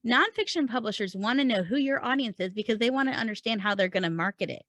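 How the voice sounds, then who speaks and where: A woman's voice: on the high side, expressive, quick pace, a bit bright, dry recording. A woman podcast host coaching writers in a conversational, emphatic way, putting questions and points straight to the listener. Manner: emphatic, rhetorical, addresses listener directly. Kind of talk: conversational instructional podcast talk.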